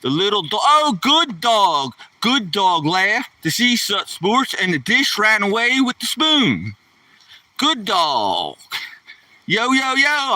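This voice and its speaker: Folksy 60s male streamer, slangy rambler, bright tense voice on a phone mic that drifts off now and then. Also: sits high, no room sound, recorded from a distance. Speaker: live streamer. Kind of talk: casual live-stream chat while walking his dog. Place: outdoors on a neighborhood walk. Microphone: handheld phone.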